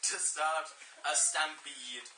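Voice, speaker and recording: A woman's voice: low voice, steady tone, slow, a loud crowd. A woman reading a children's story aloud to friends in a playful, giggly manner. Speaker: storybook reader. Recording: roomy, distant, noisy home recording.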